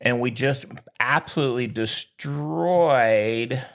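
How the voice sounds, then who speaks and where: A man co-hosting a sports podcast, bantering casually in an excitable, boastful, slangy way. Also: medium pitch, slow, creaky. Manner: excitable, whooping, slangy Yankees-fan boasting.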